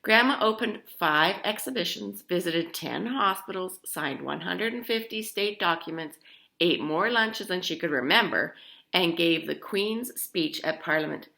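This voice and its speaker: Animated, bright-voiced woman reading a storybook. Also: lowish voice, measured pace, creaky. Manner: theatrical, emphatic. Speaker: storybook reader. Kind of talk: expressive children's story read-aloud with chatty asides.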